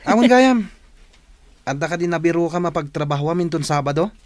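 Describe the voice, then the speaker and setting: A man's voice: a little high, lively intonation, a little fast, no echo, lightly acted. A man playing a teasing, pushy young cousin with an Ilocano Filipino accent in a comedy radio drama, speaking insistently. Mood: griping. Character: teasing, pushy male cousin egging on his friend.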